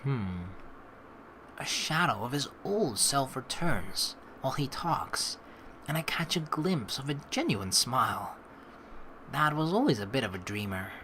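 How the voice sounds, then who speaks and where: A man's voice: mid-range voice, very animated, unhurried, pushed and bright, slight background noise. A young man hosting a let's play, reading game narration aloud and adding casual commentary.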